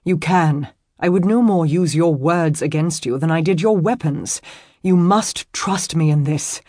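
A woman's voice: deep voice, a little slow, mellow, no room sound, clean studio sound. A woman voicing a protective, earnest medieval nobleman in a historical romance audiobook, with formal, archaic phrasing. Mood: earnest, soothing.

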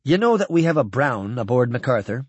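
A man performs an earnest young Scottish crewman from a space novel. He speaks with a husky edge and lively, swinging pitch, sincere and direct. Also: fairly quick, no echo, dull audio. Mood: concerned.

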